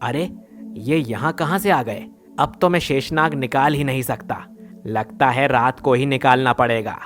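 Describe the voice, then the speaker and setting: A man's voice: medium pitch, measured pace, fairly bright tone, faint music behind, close to an everyday voice. A man playing a greedy village thief in a devotional story, brash, colloquial and grumbling. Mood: uneasy.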